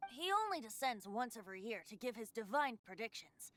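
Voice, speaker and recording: A woman's voice: a little high, animated, fairly quick, a bit bright, no echo. A woman voicing a carefree ancient wind god in the form of a youthful male bard, speaking in an airy, wistful storyteller manner for a video game. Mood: wistful, explanatory. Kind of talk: scripted video game voice acting.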